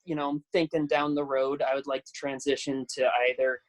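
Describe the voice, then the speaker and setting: A man's voice: medium pitch, little pitch movement, fairly quick. A man in his thirties, a pro wrestler talking casually on a video call, hesitant with many fillers and self-deprecating laughs. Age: 30s. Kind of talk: casual chat.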